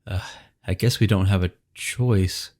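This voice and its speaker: Deep-voiced man with a head cold. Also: measured pace. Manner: relaxed, chatty, thinks aloud while playing. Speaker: let's play host. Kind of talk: game commentary and voiceover narration. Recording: faint game music behind.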